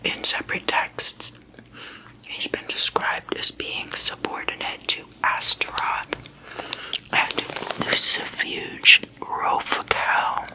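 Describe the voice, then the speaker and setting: A woman hosting whispered ASMR, speaking in a soft, breathy whisper in a casual, gossipy, deliberate way, like chatting at a sleepover. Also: rough recording. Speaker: ASMR host.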